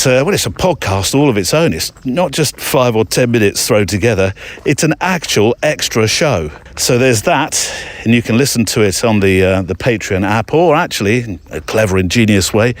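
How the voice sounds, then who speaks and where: A man's voice: mid-range voice, no room sound. A man hosting a podcast, speaking casually in a chatty, meandering way with hesitant asides. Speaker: podcast host. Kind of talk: casual spoken show outro.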